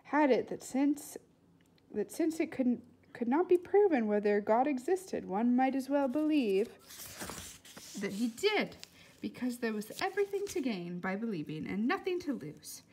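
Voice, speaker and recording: A woman's voice: on the high side, big pitch swings, dark tone, a little hiss or hum. Woman putting on an emphatic elderly foreign lady doctor. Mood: philosophical. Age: older. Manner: emphatic, halting, oddly formal phrasing.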